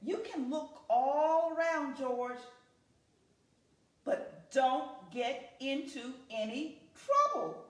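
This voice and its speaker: A woman's voice: sits high, very animated, very slow, exaggerated voice. Woman reading a fatherly storybook camper, echoey room. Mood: cheerful instructing. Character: friendly man in a yellow hat, fatherly camper. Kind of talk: children's storybook character reading. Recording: echoey room, distant from the mic.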